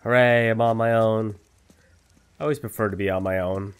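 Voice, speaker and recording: A man's voice: on the low side, fairly even intonation, normal speed, clear, resonant tone. Chatty self-mocking male gamer. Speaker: gameplay commentator. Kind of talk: casual let's-play commentary.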